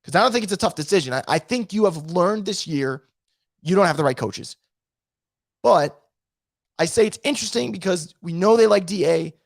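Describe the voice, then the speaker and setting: A man hosting a sports recap stream, hoarse and losing his voice from feeling sick, ranting and reading out chat comments. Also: high-pitched, moderate pace, no echo, studio quality. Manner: animated, frustrated, swearing. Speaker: sports podcast host.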